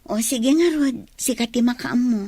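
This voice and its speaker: A woman's voice: fairly high pitch, moderate pace, mellow, husky, no room sound. A woman playing an earnest young daughter who pleads with her family, in audio drama dialogue. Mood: earnest, hesitant.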